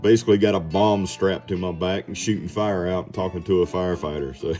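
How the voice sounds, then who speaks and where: A man's voice: sits low, steady tone, brisk, dark tone, soft background music. A man chatting casually over amateur radio in a laid-back, folksy manner. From southeast Georgia, United States. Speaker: amateur radio operator and TV survival personality.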